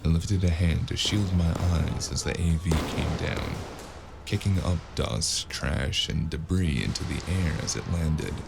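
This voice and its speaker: A man with a gravelly voice narrating a cyberpunk noir audio drama in the first person, as a weary, wry gunman. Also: very deep voice, unhurried, heavy background noise. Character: weary, wry male cyberpunk gunman telling his own story. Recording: music under the voice.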